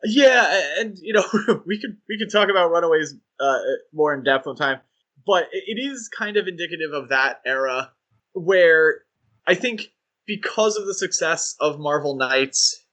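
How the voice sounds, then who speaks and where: Podcast co-host, male, bright and a bit high, easygoing and enthusiastic, lots of fillers. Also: moderate pace. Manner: enthusiastic, rambling with fillers and laughs. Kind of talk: casual chat.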